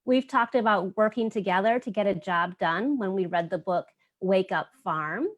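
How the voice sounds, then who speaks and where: A woman's voice: medium pitch, moderate pace. A woman hosting a virtual children's story time, explaining things in simple, child-friendly language.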